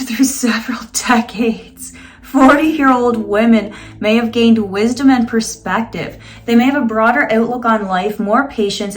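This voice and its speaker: Woman, late thirties, vlogger, fairly high voice, reads aloud, sarcastic jokes. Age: 30s. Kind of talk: casual vlog reading and commenting.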